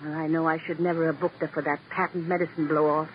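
Actor as a high-voiced, sentimental showbiz agent. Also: male voice, level tone, fast talker, close to an everyday voice. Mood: resigned, chatty. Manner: rambling, folksy, plaintive. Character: sentimental, chatty male showbiz booking agent. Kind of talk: 1950s radio crime drama acting. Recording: muffled, hissy old radio recording.

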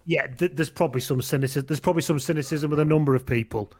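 A man's voice: a little high, fast, a little mellow, a little hiss or hum. Lancashire bloke in his forties, rambling podcast host, wry and self-correcting. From England, raised in Lancashire and Wales. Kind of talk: casual rugby podcast chat.

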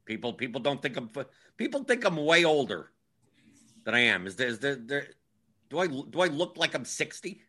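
A man's voice: mid-range voice, big pitch swings, moderate pace, pushed and bright. A man in his forties hosting a casual livestream chat, wry and grumbling, often repeating himself. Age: 40s. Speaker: livestream host.

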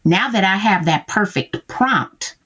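A woman's voice: medium pitch, lively intonation, slow, fairly bright tone, breathy edge, muffled recording. A woman guest talking through how she uses tools in her business, checking in often with "right?". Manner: explains with frequent "right?" check-ins. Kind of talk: conversational interview.

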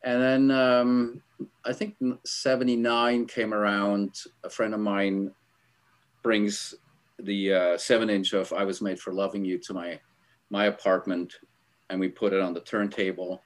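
Rambling, lower-voiced Swiss-born man. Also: level tone, unhurried. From Switzerland. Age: middle-aged. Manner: rambling, filler-heavy, laughs while telling stories. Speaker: guest, album art director. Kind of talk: casual interview storytelling.

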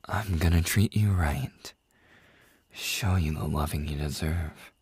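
A man acts out a tender male boss, hopelessly in love, in an intimate ASMR audio roleplay. His voice is very low, husky and breathy. He talks slowly and softly, hesitating between phrases as he opens his heart. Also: no echo, exaggerated voice. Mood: loving, intimate. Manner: soft, hesitant, slow with long pauses. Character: tender, lovestruck male boss confessing to an employee.

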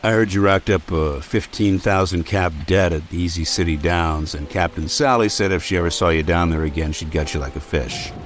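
An actor playing a dry, disapproving outcast soldier with a sardonic, deadpan manner in a radio drama. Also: male voice, low-pitched, a little fast, hardly any pauses, faint music behind, close to an everyday voice. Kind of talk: old-time radio drama acting.

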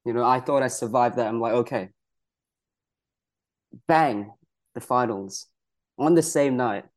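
A young man from Malaysia talks about his wrestling career with earnest pride. His voice sits at an ordinary middle pitch. He leans on "you know" as he works through his answers. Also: measured pace. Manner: earnest, proud, many "you know" fillers. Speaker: pro wrestler guest. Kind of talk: casual interview answers.

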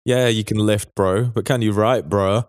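Man, croaky, teasing podcast co-host, lowish voice, dry sound. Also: fairly even intonation, moderate pace, dry recording. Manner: teasing, banter-heavy. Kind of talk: casual chat.

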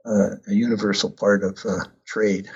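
A man in an unscripted book talk speaks in a measured, halting way and often stops to gather his thoughts. His voice sits a little low and has a husky edge. The recording is dull and lo-fi. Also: level tone, a little mellow. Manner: measured, halting, many fillers. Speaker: author discussing his book. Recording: muffled lo-fi recording.

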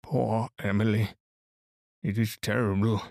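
A man's voice: fairly low pitch, little pitch movement, unhurried, vocal fry, darkish tone, dry recording, studio quality. A man voicing an aggrieved widower under suspicion in an audiobook, mournful and affronted. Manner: murmuring grief, affronted outbursts. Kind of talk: audiobook character voice.

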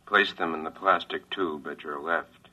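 Very deep, droning robot. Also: male voice, a little slow. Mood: droning, impersonal. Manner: monotone, impersonal, clipped formal orders. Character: droning processing robot giving impersonal orders. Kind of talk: 1950s radio drama acting. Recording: noisy vintage radio recording.

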